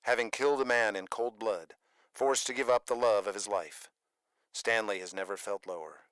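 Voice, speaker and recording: A man's voice: on the low side, moderate pace, fairly bright tone, clear, resonant tone, dry recording. A man reading scripted dramatic narration for a radio drama.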